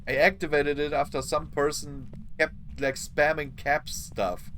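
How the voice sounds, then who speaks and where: A man streaming a game live, hoarse and losing his voice, chatting apologetically to his viewers. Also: fairly high pitch, flat delivery, measured pace, a bit bright, slight background noise. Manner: apologetic, chatty, talks to chat. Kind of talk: live gameplay commentary.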